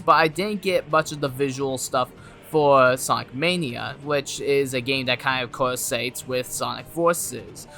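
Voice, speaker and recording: A video game reviewer narrating an enthusiastic scripted review in an animated, exclamatory and emphatic way. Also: mid-range voice. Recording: music playing behind. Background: music.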